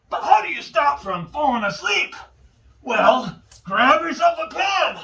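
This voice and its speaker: High-pitched, animated man giving a tutorial. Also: far from the mic, rough recording. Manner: goofy, exaggerated exclamations and asides. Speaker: instructor. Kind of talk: upbeat tutorial presenting.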